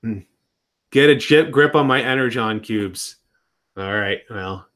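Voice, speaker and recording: A man in his thirties streaming from home in relaxed, loose chat with viewers. He rambles along, trailing off and restarting sentences as he goes. His pitch sits in an ordinary middle range. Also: unhurried. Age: 30s. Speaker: live streamer. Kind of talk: casual live-stream chat.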